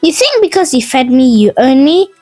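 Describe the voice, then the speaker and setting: Woman putting on a headstrong young woman, folk tale, high voice, quick, emphatic, music behind. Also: big pitch swings, mellow, no echo. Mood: angry, defiant.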